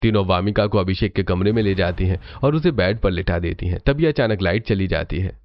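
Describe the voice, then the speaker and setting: Low-pitched man narrating a cartoon story. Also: lively intonation, a little fast, no room sound, lo-fi sound.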